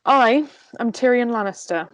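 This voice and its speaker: A woman's voice: on the high side, lively intonation, normal speed, dry recording, natural voice, rough recording. Casting: woman as sardonic, world-weary drunken dwarf nobleman, blunt, fantasy script read, close dry sound.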